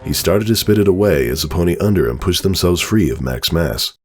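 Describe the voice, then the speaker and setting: Very deep-voiced male story narrator. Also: lively intonation, fairly quick, a little mellow, soft background music. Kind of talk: dramatic scripted story narration.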